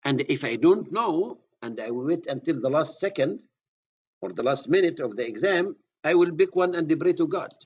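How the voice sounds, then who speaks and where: Egyptian-accented lecturer, husky voice. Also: male voice, on the high side, animated, moderate pace, highs cut off. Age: middle-aged. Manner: repeats key words, restarts phrases. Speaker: surveying instructor. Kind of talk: classroom lecture.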